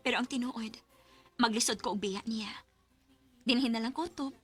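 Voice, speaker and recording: A woman's voice: on the high side, normal speed, fairly bright tone, slightly rough, faint music behind. A woman playing a wounded, passionate, betrayed wife in a radio drama. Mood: quiet heartbreak. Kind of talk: Philippine radio drama acting.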